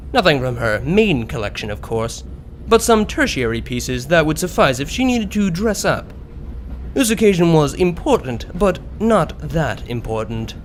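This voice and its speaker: Lively, higher-voiced man narrating a story. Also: a little hiss or hum. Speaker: narrator of a fan fiction story. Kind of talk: expressive scripted story narration. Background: background music.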